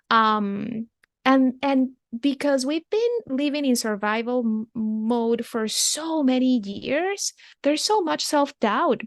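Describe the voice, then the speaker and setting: A woman's voice: fairly high pitch, dry recording, studio quality. A young adult woman, a therapist, talks through ideas thoughtfully as an interview guest, often restarting and asking questions back. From immigrant. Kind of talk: reflective interview conversation.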